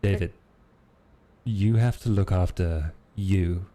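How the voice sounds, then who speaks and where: A man plays a calm protector in a roleplay game, with a low, very dark voice. He advises slowly and earnestly, in measured phrases. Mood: calm, advising. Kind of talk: in-character tabletop roleplay.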